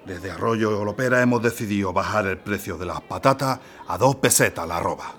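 A man playing a conciliatory, courteous businessman in a radio drama, measured and polite. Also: lowish voice, fairly bright tone.

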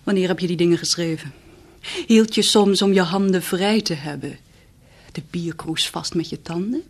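An actress playing a proud, sharp-tongued young lady in a radio play, with a breathy voice and a cool, probing manner. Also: on the low side, moderate pace. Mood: scornful. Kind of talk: 1970s radio play acting. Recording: radio play with faint music.

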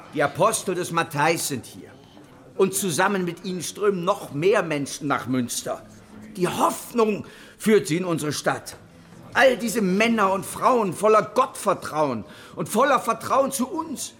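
An actor playing a persuasive, impassioned Anabaptist leader with emphatic oratory in a German historical radio drama. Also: male voice, high voice, a bit bright, exaggerated voice. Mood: pleading, rousing. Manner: oratorical, emphatic, addressing followers as brothers.

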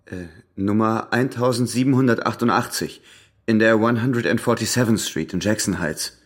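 A guarded husband under suspicion in a radio thriller, played by a man. He speaks in a very low, husky voice, choosing words hesitantly and evasively. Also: moderate pace, lightly acted. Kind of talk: radio crime drama acting.

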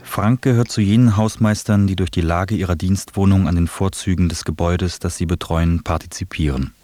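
A man's voice: sits low, steady tone, a little fast, warm tone. A man reading scripted narration for a radio feature.

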